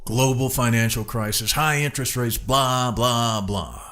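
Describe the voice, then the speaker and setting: A man's voice: medium pitch, unhurried, a bit bright, lightly acted. Man playing a weary, plain-spoken farmer uncle. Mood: bitter, weary. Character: weary, gruff farmer uncle, worried but protective. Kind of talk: audio drama acting.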